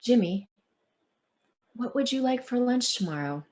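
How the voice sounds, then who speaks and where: A woman reading as a gentle, caring mother in a picture book, quiet and deliberate. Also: a little high, lively intonation, pitched above the performer's own voice. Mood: gentle, kind.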